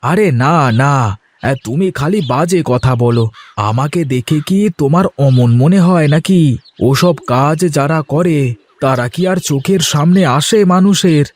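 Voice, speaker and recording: A man's voice: fairly high pitch, a little slow, darkish tone. A man voicing a sly, cheeky villager in a cartoon, wheedling and exclaiming as he talks. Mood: protesting, offended. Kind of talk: cartoon dialogue acting.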